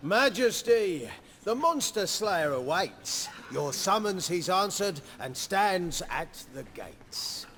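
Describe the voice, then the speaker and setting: An actor playing a formal royal servant on a stage in a video game, declaiming stiffly from afar in a reverberant space. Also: male voice, sits high, big pitch swings, slow, few pauses, a bit bright, full character voice. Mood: solemn. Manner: stilted, declamatory, rhyming verse. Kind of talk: play within a video game. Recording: distant, long reverb, faint crowd.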